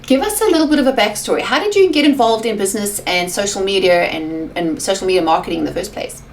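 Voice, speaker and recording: A woman's voice: medium pitch, expressive, fast. A woman with a South African accent hosts an interview, chatty and enthusiastic, with a voice that climbs and falls a great deal. Faint noise sits under her voice. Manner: enthusiastic, chatty, laughing often. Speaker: host.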